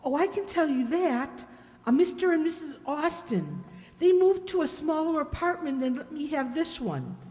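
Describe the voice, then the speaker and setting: Woman playing a high-pitched, flirty, easily hushed lady tenant who rambles, radio mystery, recorded in a reverberant hall. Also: expressive, moderate pace. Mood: eager, gushing. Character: eager, chatty, flirtatious female apartment tenant, admiring the detective. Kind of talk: detective radio play acting.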